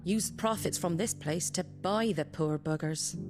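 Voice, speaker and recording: An actress playing an earthy, compassionate innkeeper rebel in a video game, with a regional English accent and plain, folksy speech. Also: female voice, lowish voice, a little slow, natural voice. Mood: grave, resolute. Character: Martha, earthy outspoken innkeeper woman, secretly compassionate rebel. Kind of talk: dramatic video game acting. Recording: music behind the voice.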